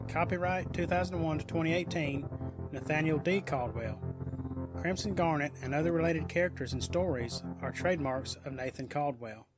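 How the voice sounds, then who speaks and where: A man's voice: on the high side, moderate pace, poor audio. Male audiobook narrator over music. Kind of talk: scripted audiobook narration of a superhero story.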